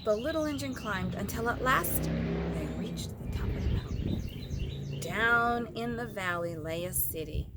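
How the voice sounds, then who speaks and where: A woman's voice: medium pitch, lively intonation, unhurried. A woman narrating a children's story expressively as a storybook reader. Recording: clear background noise with birdsong.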